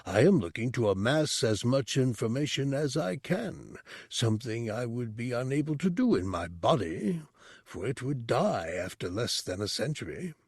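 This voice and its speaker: A man voicing an ancient disembodied monk's brain, a deep, formal, serene teacher with a bemused manner. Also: big pitch swings, moderate pace, darkish tone, breathy edge, no room sound, clean studio sound. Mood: measured, scholarly.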